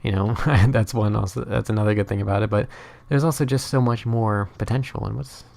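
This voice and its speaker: Gravelly young Norwegian man, rambling. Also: on the low side, fairly even intonation, fairly quick, darkish tone. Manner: rambling, hesitant, many fillers and restarts. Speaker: gaming channel host. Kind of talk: casual gameplay commentary.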